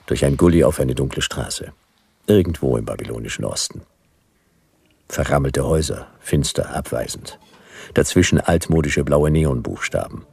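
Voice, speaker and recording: Low gravelly private eye, dry and laconic, noir radio play, light music. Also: male voice, a little mellow, heavily acted. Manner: laconic, dry, clipped phrases. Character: dry, world-weary male private detective narrating his case. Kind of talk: noir radio drama narration and dialogue.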